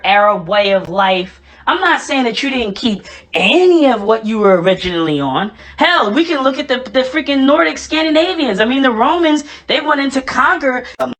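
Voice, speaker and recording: A woman's voice: medium pitch, a bit bright. Woman arguing her point, kinda lecturing n assertive. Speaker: video commentator. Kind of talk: argumentative commentary.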